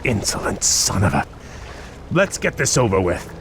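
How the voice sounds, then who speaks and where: An actor playing a proud, noble elven prince, formal and restrained with simmering resentment, in a fantasy video game. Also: male voice, fairly low pitch, wildly swooping pitch, normal speed, noisy background. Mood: irritated. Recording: music behind. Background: game music.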